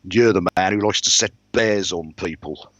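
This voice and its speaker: A man's voice: low voice, moderate pace, dry recording, pitched above the performer's own voice. A man voicing a foul-mouthed, defiant gangster posing as a priest, blunt and menacing, in a fantasy novel reading.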